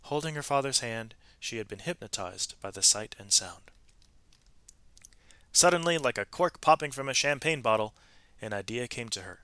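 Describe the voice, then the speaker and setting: Bright-voiced man reading a storybook aloud. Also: mid-range voice, lively intonation, moderate pace, clean tone, no echo. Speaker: read-aloud narrator, teacher reading to students. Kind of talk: expressive storybook read-aloud with brief asides to listeners.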